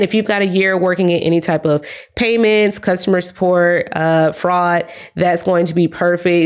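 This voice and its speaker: Young woman vlogger, kinda low voice, hoarse and fading, chatty and upbeat. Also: darkish tone. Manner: chatty, upbeat, addresses viewers as guys. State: hoarse, voice giving out. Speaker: career advice vlogger. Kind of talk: casual talk to camera.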